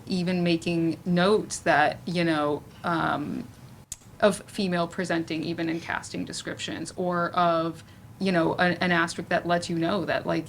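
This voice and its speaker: Woman running a panel chat, kinda low voice, lots of likes and you knows, bit of room noise. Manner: informal, peppered with "like" and "you know". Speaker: panel moderator. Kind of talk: casual panel discussion.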